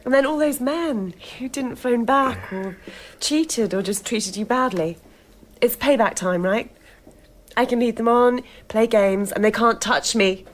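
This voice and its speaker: An actress playing a self-absorbed, talkative young film crew assistant in a naturalistic radio drama. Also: female voice, fairly high pitch, unhurried. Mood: earnest, self-satisfied. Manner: talkative, self-regarding, oblivious.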